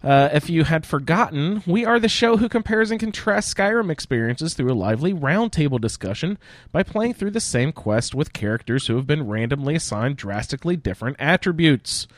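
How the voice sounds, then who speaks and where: A man hosting a casual gaming roundtable podcast, speaking in halting phrases with searching pauses. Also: on the high side, animated, no echo. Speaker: podcast host. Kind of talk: casual roundtable chat about a video game.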